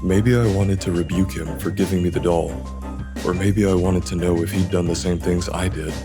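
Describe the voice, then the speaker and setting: Man acting deep-voiced, remorseful loner confessing. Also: steady tone, moderate pace, close to an everyday voice. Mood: somber confession. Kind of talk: visual novel English fan dub, dramatic dialogue. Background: music.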